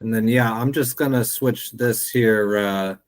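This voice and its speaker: Low-voiced man hosting a video webinar. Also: measured pace. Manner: relaxed, filler-heavy. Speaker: webinar host. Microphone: video call.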